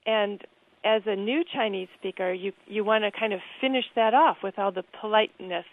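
A woman's voice: mid-range voice, normal speed, no echo. Woman on a tinny phone line. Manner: thoughtful, with frequent fillers and restarts. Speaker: guest author. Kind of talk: interview conversation.

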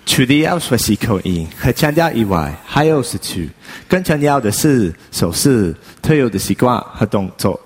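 A man with a husky voice reciting a memorized passage on stage. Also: mid-range voice, big pitch swings, normal speed, hardly any pauses. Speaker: performance artist on stage.

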